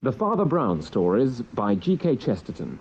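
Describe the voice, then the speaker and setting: A man's voice: medium pitch, sing-song, moderate pace, a little mellow, slightly rough, lo-fi sound. A man announcing scripted radio drama credits in a formal broadcast manner.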